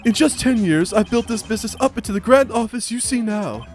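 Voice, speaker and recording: A man's voice: sits high, animated, brisk, faint music behind, voice pushed up. A man voicing a smug, entitled corporate villain for a video game, pompous and theatrical with flowery made-up words. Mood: grandiose, self-satisfied. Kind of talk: video game character voice-over.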